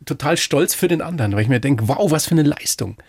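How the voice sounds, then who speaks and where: A man's voice: fairly high pitch, animated, quick pace, a little mellow, breathy edge, dry recording. A man hosting an interview, enthusiastic and emotive, exclaiming often. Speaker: interviewer, host. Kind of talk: casual interview talk.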